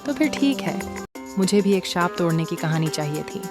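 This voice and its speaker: Kind fearless young princess lady acting, lowish voice, earnest cartoon dialogue, music playing behind. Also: expressive, moderate pace, close to an everyday voice. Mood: curious, calm. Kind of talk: Hindi animated moral story dialogue.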